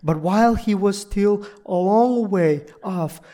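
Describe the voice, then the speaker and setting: A guest preacher whose first language is Russian, giving a sermon in halting, deliberate second-language speech. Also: mid-range voice, dark tone. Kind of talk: sermon with prayer and scripture reading.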